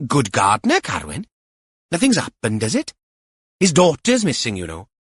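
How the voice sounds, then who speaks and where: A man's voice: medium pitch, wildly swooping pitch, normal speed, a bit bright, husky, no echo, clean studio sound, voice pushed up, full character voice. A man voicing a flustered woman gardener in her seventies, chatty and questioning. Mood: flustered, wary.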